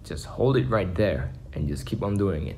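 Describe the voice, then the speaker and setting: A man's voice: deep voice, lively intonation, normal speed, dark tone. A man in his twenties giving a casual tutorial to camera, explaining things simply, step by step. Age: 20s. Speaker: beatbox instructor. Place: small home room indoors. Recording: faint background noise, home room.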